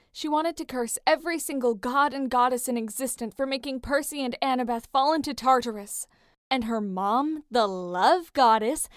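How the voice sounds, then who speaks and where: High-voiced woman narrating a dramatic story, dry. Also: dry recording.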